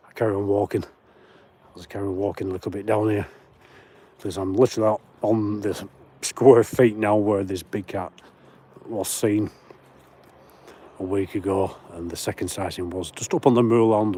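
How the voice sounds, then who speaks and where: A man's voice: on the low side, steady tone, normal speed, long pauses, dark tone, breathy edge. A man with a broad Lancashire accent walking on open moorland at night, chatting casually on a livestream and greeting viewers by name as he reads their comments. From Lancashire, England. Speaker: paranormal livestream host.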